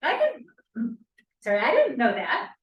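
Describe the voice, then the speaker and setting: In a reverberant room, a bit far from the mic, a woman acts out an eager young student scientist. Her fairly high voice swings up and down in a period drama table read. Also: huge pitch swings, a little slow, dull audio. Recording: echoey, distant room sound.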